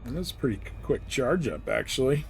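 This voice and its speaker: A man streams a space flight game with an average-pitched voice and an easygoing, exclamatory way of talking. He chats casually to viewers, with clear game noise humming behind him. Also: animated, a little fast. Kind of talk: casual gameplay commentary, chatting to viewers. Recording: clear background noise, game engine rumble. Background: spaceship game sounds.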